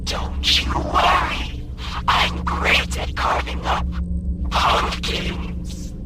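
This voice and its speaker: A woman playing a menacing, fiery jack-o'-lantern animatronic monster in a horror game, taunting in a slow, breathy voice. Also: very high voice, fairly even intonation, heavy reverb, heavy background noise, exaggerated voice. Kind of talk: scripted horror video game villain lines.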